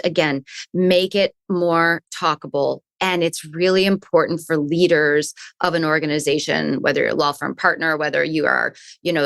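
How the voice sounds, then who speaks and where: A woman's voice: low-pitched, dry recording, clean studio sound. A woman speaking as a guest in an interview, a resilience coach who explains her points thoughtfully and often restarts her phrases. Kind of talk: conversational interview answers.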